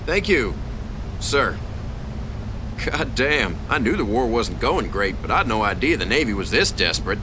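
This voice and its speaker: A man's voice: sing-song, a little slow. A man playing a brash, irreverent American navy pilot in a video game cutscene. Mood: irreverent surprise. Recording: loud rumbling engine noise.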